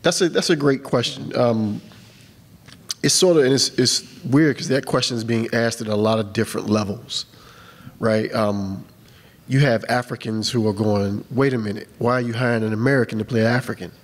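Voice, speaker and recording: A man's voice: mid-range voice, moderate pace. A man on a film panel answering a question in a conversational, emphatic way, often checking in with "right?". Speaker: panelist, casting and film producer. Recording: long hall reverb.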